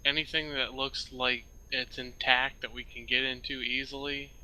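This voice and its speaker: A man's voice: mid-range voice, flat delivery, normal speed, bright, forceful tone, low-quality recording. A man playing a role-play game, talking casually as he states his moves and questions. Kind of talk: casual game-table talk.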